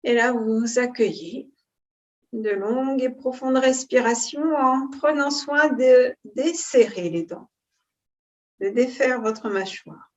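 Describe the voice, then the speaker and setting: Woman, yoga instructor, higher-pitched, very slow delivery, calm guided breathing instruction. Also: highs cut off. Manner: calm, unhurried, soothing. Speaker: yoga teacher.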